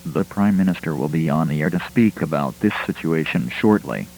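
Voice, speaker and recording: A man with a very deep voice reads out a scripted emergency alert. He is formal and impersonal, and a faint hum sits behind him. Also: animated, moderate pace, vocal fry, darkish tone, poor audio.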